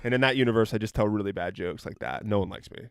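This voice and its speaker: A man's voice: fairly low pitch, rapid-fire, gravelly, clean tone, no room sound. A man in his thirties co-hosting a casual podcast, rambling and joking, often trailing off mid-sentence. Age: 30s. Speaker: podcast co-host. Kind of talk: casual chat.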